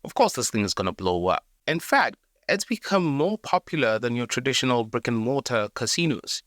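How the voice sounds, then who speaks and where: A man's voice: medium pitch, lively intonation, a little fast, a bit bright, no room sound, studio quality. A South African man hosting a documentary-style video, speaking earnestly and directly to the viewer. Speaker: video essay host. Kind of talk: scripted documentary narration.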